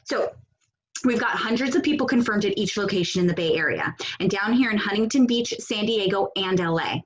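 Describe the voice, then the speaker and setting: A woman acting a blunt, sardonic protest organizer in a stage comedy over a video call, with a brisk, dry, bossy delivery. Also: medium pitch, dull audio, a little hiss or hum, natural voice. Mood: businesslike. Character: blunt, sardonic female leader of a protest cell.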